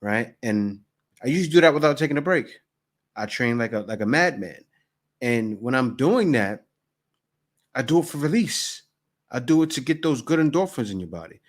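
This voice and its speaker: A man's voice: medium pitch, expressive, normal speed. A man in his forties giving loose, conversational reaction commentary and repeating himself. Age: 40s. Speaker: host reacting to a video.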